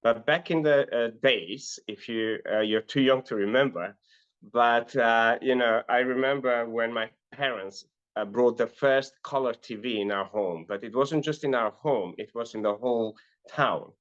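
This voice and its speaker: A middle-aged man from North Macedonia, a life and business coach, earnestly telling his life story as an interview guest, often pausing on "uh". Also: mid-range voice, fairly bright tone, clear, resonant tone, dull audio. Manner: earnest, with frequent "uh" fillers.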